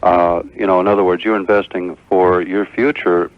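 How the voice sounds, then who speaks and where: A man's voice: deep voice, flat delivery, normal speed, no echo. A middle-aged American man calling a radio show, hesitant and wordy in casual conversation. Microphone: telephone. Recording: muffled lo-fi radio call.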